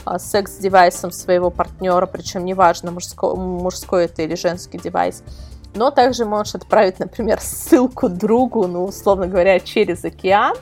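A woman's voice: on the low side, fairly even intonation, moderate pace, darkish tone, faint music behind. A woman giving an informal, detailed explanation as a guest expert, talking through examples. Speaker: guest expert, editor of a product review site. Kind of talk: informal explanatory interview talk.

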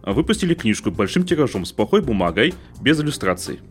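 A man's voice: mid-range voice, sing-song, fast, faint music behind. A man co-hosting a casual discussion, making his argument in an opinionated way with examples. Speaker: podcast co-host.

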